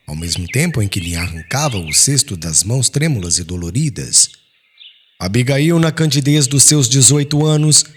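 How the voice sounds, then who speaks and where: A man's voice: medium pitch, slight background noise. A man reading an audiobook as a solemn, formal and dramatic literary narration.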